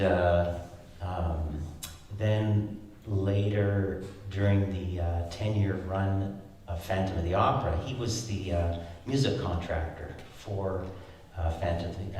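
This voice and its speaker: Deep-voiced man in an echoey hall. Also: darkish tone. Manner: halting, with many fillers and restarts. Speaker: flute player. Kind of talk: spoken remarks at a concert. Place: large concert hall. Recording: echoey concert hall from far off.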